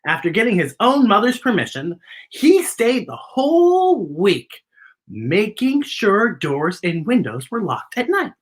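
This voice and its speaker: A woman's voice: mid-range voice, very animated, measured pace. A woman hosting a children's story time, reading a picture book aloud in a playful, theatrical way with chatty asides to the young listeners.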